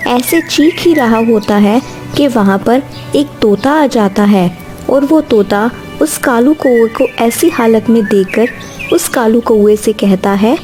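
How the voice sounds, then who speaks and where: Female storyteller, mellow and slightly high, scripted children's narration over faint music. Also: measured pace.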